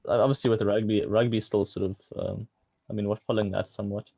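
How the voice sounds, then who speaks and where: A man's voice: on the low side, flat delivery, fast talker, vocal fry, mellow, slightly rough, lo-fi sound. A man co-commentating a chess match, working through move sequences aloud in a thoughtful way. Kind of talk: chess commentary, calculating variations.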